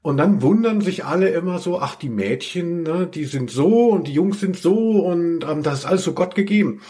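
A man's voice: on the high side, expressive, normal speed, mellow. Rambling man chatting on a podcast. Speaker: podcast co-host. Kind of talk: casual chat between friends.

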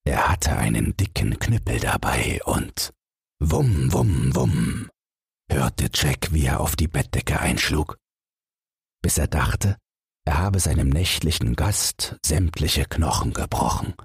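A man's voice: rumbling low, animated, slow pace, vocal fry, husky, dry recording, studio quality. A man narrating a scripted bedtime fairy tale as an audiobook reader.

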